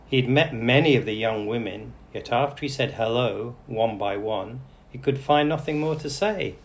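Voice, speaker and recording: A man reading a bedtime story aloud for English learners in an animated, deliberate manner. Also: medium pitch. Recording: clearly noisy background.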